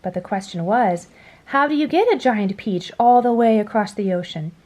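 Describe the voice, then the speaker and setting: A woman reading a children's story aloud in a dramatic storytelling style, dropping to a hush at phrase endings. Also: mid-range voice, lively intonation, moderate pace. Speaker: storybook reader. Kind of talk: expressive children's read-aloud narration.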